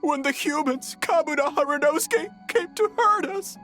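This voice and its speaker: A woman's voice: sits high, moderate pace, fairly bright tone, faint music behind. A woman playing a small, earnest, gullible tanuki spirit in a video game, declaiming with childlike wonder. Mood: earnest recounting.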